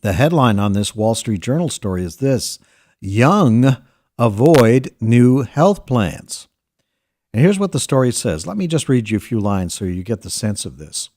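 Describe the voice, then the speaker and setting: A man's voice: medium pitch, sing-song, measured pace, a little mellow. An American man hosting talk radio, delivering a news monologue emphatically, repeating key phrases and putting questions straight to his listeners. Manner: addresses listeners directly with rhetorical questions. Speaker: radio talk show host. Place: radio studio.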